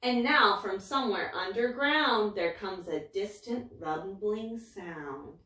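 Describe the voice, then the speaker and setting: A woman's voice: medium pitch, slow pace, pushed and bright, recorded from a distance. A woman reading a rhyming picture book aloud in a dramatic, emphatic way. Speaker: story reader. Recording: echoey room, away from mic.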